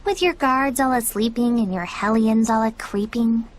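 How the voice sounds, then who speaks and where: A woman playing a sardonic, bossy, easily bored young female spirit in an animated game, sharp-tongued and teasing. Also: fairly high pitch, moderate pace, slight background noise, natural voice. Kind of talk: animated game cutscene acting.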